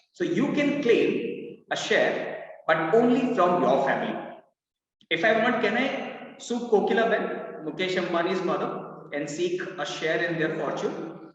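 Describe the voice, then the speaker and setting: A man with an Indian accent teaching a live online law class, with a loud voice, mixing Hindi phrases in. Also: a little high. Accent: Indian English. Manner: lively, mixes in Hindi phrases, reads out student answers. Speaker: law entrance mentor. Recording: echoey, roomy, distant, dull live-class audio.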